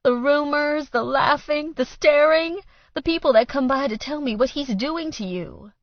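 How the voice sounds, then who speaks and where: Brief: woman playing a fragile, weepy mother, high strained pleading, audiobook. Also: moderate pace, no echo, muffled recording. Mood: accusing, weepy. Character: tearful, overdramatic middle-aged mother.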